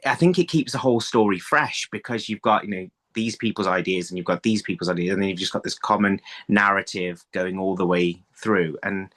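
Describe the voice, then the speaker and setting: A man's voice: low voice, fairly quick, hardly any pauses, a bit bright. A middle-aged man talking casually as an interview guest on a video call, rephrasing himself mid-thought. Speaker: interview guest, audio drama writer. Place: small room at home, on a video call. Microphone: phone on a video call.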